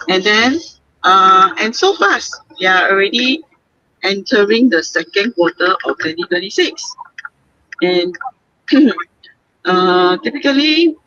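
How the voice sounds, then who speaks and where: A woman's voice: medium pitch, bright, forceful tone, dry recording, lo-fi sound. A woman from Singapore hosting a casual live stream, chatty, with many fillers and frequent laughs, talking on her way home. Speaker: host. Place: moving vehicle on the way home.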